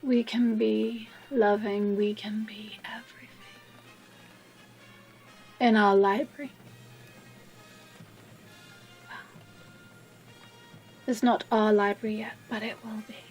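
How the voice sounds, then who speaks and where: Woman acting a breathy, slow-spoken obsessive librarian. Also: medium pitch, level tone, a little mellow, soft background music, poor audio. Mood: adoring, seductive. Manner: breathy, often whispering, slow theatrical pauses and sighs. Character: obsessive possessive female librarian captor, tenderly menacing. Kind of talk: dramatic audio roleplay monologue.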